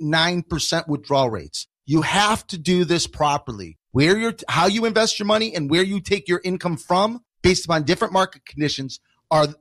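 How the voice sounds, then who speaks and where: A high-voiced man with an American accent teaches on a radio program. He is emphatic, hammering points through repetition and rhetorical questions, in a dry studio recording. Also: fairly bright tone, dry recording. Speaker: financial educator and radio show guest. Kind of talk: explanatory radio talk.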